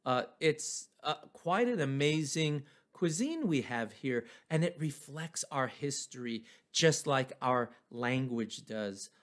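A man's voice: mid-range voice, animated, slow pace, hardly any pauses. A man from New Mexico, giving an informal, explanatory history lecture. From New Mexico, United States.

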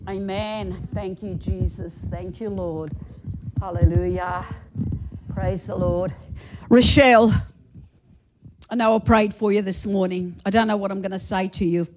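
A middle-aged woman delivering prophetic words over church members in a small church hall. She holds a handheld microphone and speaks fervently, with many repetitions, and at times rises to shouts of praise. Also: high-pitched. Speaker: prophetic minister. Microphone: handheld mic.

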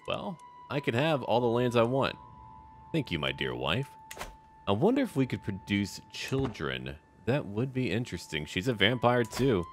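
A man's voice: low voice, fairly bright tone, slight background noise. A man narrating a strategy game in character, musing aloud theatrically in short, clipped phrases over music. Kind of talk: in-character roleplay game commentary.

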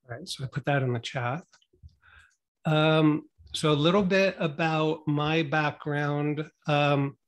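A middle-aged man giving a casual screen-share talk at a meetup from a home room on a video call, relaxed and rambling with many ums and restarts. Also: medium pitch. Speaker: meetup presenter. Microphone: webcam on a video call.

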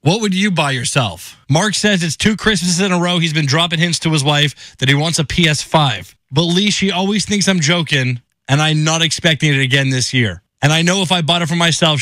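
A man's voice: on the high side, a little fast, bright, forceful tone, no echo, low-quality recording. A man hosting a radio show, chatting casually and jokingly between songs in a conversational way, full of rhetorical questions. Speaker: radio host. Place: radio studio. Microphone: broadcast studio microphone.

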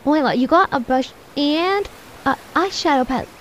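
A woman as the bossy, dramatic young mom in a homemade dollhouse cartoon roleplay, high voice over faint noise. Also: animated, moderate pace, a bit bright. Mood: scolding. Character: bossy, disapproving young mom of a little girl. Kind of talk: amateur voiced family roleplay.

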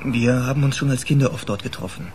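An actor playing a defensive, hesitant, evasive suspect under questioning in a radio crime drama. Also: male voice, medium pitch, fast, darkish tone, slight background noise, close to an everyday voice.

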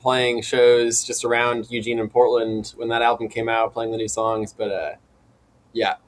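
A man's voice: mid-range voice, little pitch movement, normal speed. Young man with mid-range voice, chatting casually. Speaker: indie rock band member, podcast guest. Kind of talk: casual chat.

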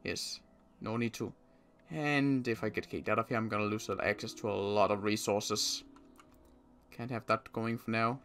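A man's voice: fairly low pitch, steady tone, moderate pace, fairly bright tone. A man playing a suspicious, agitated apocalypse survivor in improvised game roleplay, muttering to himself with stammers and repeated words. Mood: brooding, suspicious.

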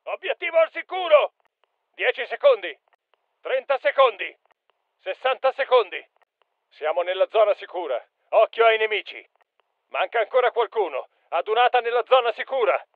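Urgent high-pitched soldier, muffled sound. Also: male voice, very animated, normal speed, no room sound. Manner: clipped, emphatic military delivery. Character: urgent male special forces soldier calling out battlefield alerts. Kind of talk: video game combat callouts.

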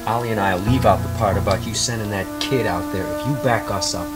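A man's voice: fairly low pitch, fast, lightly acted. An actor playing an earnest father in an audio drama, insistent and pleading as he warns of danger. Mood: tense, purposeful. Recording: roomy drama recording over music.

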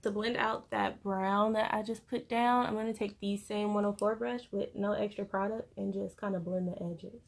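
A woman's voice: mid-range voice, steady tone, normal speed, vocal fry, hardly any pauses. A young woman casually chatting through a makeup tutorial in an informal, slangy way, recorded in a bedroom. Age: young adult. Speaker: beauty vlogger. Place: bedroom, indoors. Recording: noticeable room noise.

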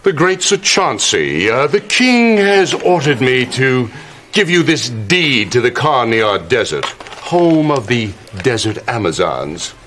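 An actor playing a sly, sardonic middle-aged royal chancellor in a campy fantasy game scene. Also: male voice, mid-range voice, very animated, slow pace, a bit bright, slight background noise. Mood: smoothly insincere. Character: scheming, sneering royal chancellor villain, middle-aged man. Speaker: actor in live-action game cutscenes. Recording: music behind the voice.

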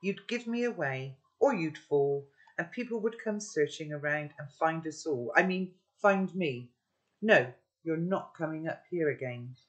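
A woman's voice: low voice, animated, unhurried, highs cut off. An older woman reading the lines of a guarded, stern teenage boy, curt and commanding.

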